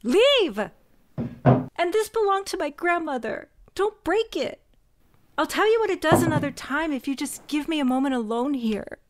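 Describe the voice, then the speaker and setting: An actress with a Mexican American accent playing a proud, vengeful young woman, intense and shifting between mutters and shouts. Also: sits high, lively intonation, normal speed, slight background noise. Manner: intense, swinging from muttering to yelling. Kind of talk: audio drama acting.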